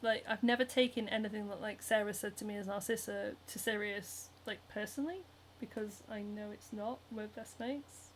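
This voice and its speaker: A woman's voice: medium pitch, steady tone, normal speed, hardly any pauses, slight background noise, poor audio. A young woman with a Northern English accent, hosting a casual livestream while she sews, reading out viewer comments and chatting with lots of giggles, ums and likes. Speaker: cosplayer and livestream host. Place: home, hand sewing while streaming.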